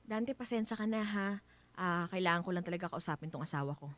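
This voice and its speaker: A woman's voice: on the low side, fast, natural voice. A woman acting as a wary, insistent wife in a Filipino radio drama, earnest and polite but nagging.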